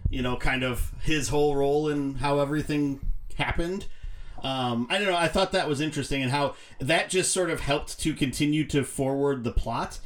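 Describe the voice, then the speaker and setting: A man's voice: a little high, moderate pace. A man on a podcast panel talking casually and loosely about films, rambling and correcting himself as he goes. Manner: rambling, self-correcting, hesitant phrasing. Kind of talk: casual movie discussion.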